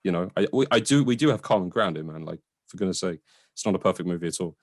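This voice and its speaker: Male co-host, low voice, casual and opinionated review chat, unguarded language with swearing. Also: expressive, quick pace. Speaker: podcast co-host reviewing films. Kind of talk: casual film discussion.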